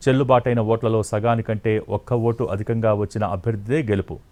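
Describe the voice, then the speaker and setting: A man reading scripted news as an anchor in a formal, measured newsreader delivery. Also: lowish voice, steady tone, dark tone. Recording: music bed under the voice. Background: news music.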